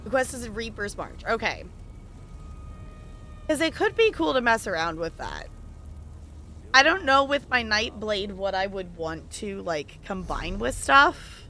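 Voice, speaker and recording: A woman's voice: mid-range voice, big pitch swings, unhurried, long pauses, sharp tone, slight background noise. A woman chatting casually on a game livestream, rambling and hesitant as she thinks aloud. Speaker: game streamer.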